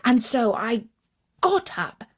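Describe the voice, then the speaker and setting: A British woman narrating a scripted children's story in a measured, expressive storytelling manner. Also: on the high side, breathy edge.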